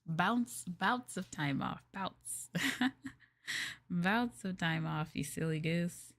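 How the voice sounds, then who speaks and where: Soft-spoken woman, low-pitched ASMR streamer. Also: very slow, no echo. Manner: gentle, hushed, casually chatty with viewers. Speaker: VTuber livestreamer.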